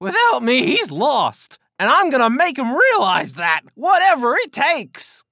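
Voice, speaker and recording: A man's voice: very high voice, wildly swooping pitch, measured pace, bright, forceful tone, no echo, exaggerated voice. A man voicing an intense, insistent, possessive schemer for a character demo reel. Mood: fierce resolve.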